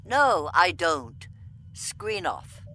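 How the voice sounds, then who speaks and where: An imperious female alien commander played by a woman in a science fiction audio drama. Her voice is bright and animated, with proud, clipped haughtiness. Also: lowish voice, drawn-out words, exaggerated voice. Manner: haughty, curt.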